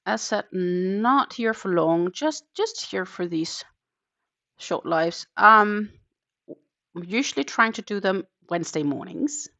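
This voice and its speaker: A woman's voice: medium pitch, animated, takes it slowly, bright, forceful tone. A woman in her thirties, a native Danish speaker, chatting casually on a live crochet stream and counting her stitches aloud from her home. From Denmark. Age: 30s. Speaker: crochet channel host. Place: home in the Netherlands. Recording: dull lo-fi live-stream audio.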